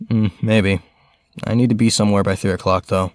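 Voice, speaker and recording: This is a man as a well-off, strait-laced teenage boy in an audio drama. His voice is low and close in a dry space, and he speaks politely, with hesitant understatement. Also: steady tone, brisk, no echo, natural voice. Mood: guarded. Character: polite, strait-laced, reserved rich male high school student. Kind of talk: fan audio drama acting.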